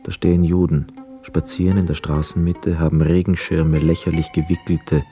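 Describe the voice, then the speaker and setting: An actor playing a brooding young hotel guest, narrating a literary radio play in a reflective, measured, sombre manner. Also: male voice, low-pitched, flat delivery, dark tone, soft background music. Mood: observant, detached.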